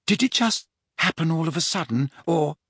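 A man voicing a calm teenage schoolgirl asking gentle questions. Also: on the high side, normal speed, breathy edge, no echo, studio quality, heavily acted.

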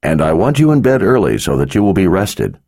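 A man voicing a big, burly male warrior and protective father who speaks in a gruff, drawling, dryly teasing way. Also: low voice, huge pitch swings, brisk, mellow, pitched above the performer's own voice, full character voice. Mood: stern.

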